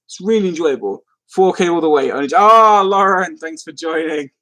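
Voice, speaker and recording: A man's voice: on the high side, brisk, pushed and bright. A man hosting a casual live stream, rambling as he replies to comments and easily amused. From London, England.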